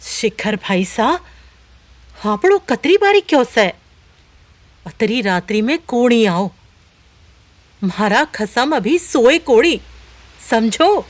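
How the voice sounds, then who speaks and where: A woman's voice: fairly high pitch, sing-song, slow, pauses often, dry recording. A woman playing a sadistic, theatrical village storyteller with a Rajasthani accent in an audio horror drama. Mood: scolding, sly.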